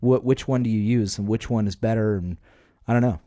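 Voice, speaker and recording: A man's voice: on the low side, fairly quick, mellow, dry recording, studio quality. A man co-hosting a podcast, chatting casually and explaining things in a conversational way. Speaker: podcast co-host. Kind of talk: casual chat.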